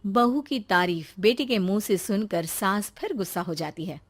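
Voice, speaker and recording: A woman's voice: mid-range voice, animated, moderate pace, breathy edge. A woman narrating a scripted cartoon moral story.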